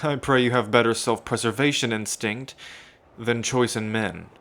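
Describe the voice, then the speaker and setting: A man's voice: medium pitch, steady tone, normal speed, a bit bright, close to an everyday voice. A man playing an arrogant, sadistic would-be emperor in an ASMR roleplay, with a pompous, gloating manner. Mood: menacing, smug. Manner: pompous, gloating, with frequent smug chuckles. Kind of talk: ASMR roleplay villain monologue.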